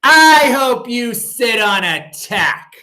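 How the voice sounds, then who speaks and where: A man's voice: big pitch swings, takes it slowly, pushed and bright, exaggerated voice. A man doing a whiny grumpy little boy. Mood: spiteful. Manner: high, pleading and indignant. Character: grumpy put-upon young boy, whiny.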